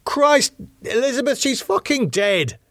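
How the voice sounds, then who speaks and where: A male audiobook narrator plays a haunted, defiant man with a raised, very animated pitch. He is agitated and snappish, prone to bitter sarcasm. The sound is dry. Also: normal speed, dry recording. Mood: upset, insistent. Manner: stammering, sweary, snapping outbursts. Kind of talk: audiobook character dialogue.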